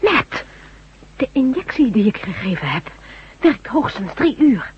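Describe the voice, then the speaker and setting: An actress playing a cautious, concerned doctor and questioning companion in a science-fiction radio drama. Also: female voice, fairly high pitch, sing-song, a little slow, breathy edge, full character voice. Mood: worried, insistent. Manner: earnest, questioning. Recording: dull lo-fi radio recording, humming noise.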